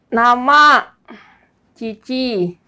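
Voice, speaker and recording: A woman's voice: fairly high pitch, lively intonation, drawn-out words, bright, forceful tone. A mother in her late thirties giving brief, matter-of-fact interview answers. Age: 30s.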